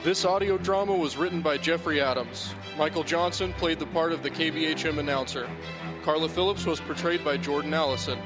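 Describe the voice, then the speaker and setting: A male host giving measured, reflective closing remarks and reading the credits. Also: a little high, over music, poor audio.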